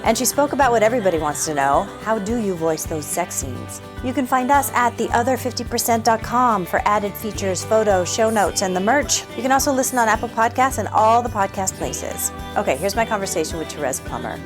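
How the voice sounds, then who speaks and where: A woman's voice: medium pitch, lively intonation, fairly quick, hardly any pauses. A woman podcast host reading a scripted, upbeat episode introduction. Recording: intro read over music. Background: music.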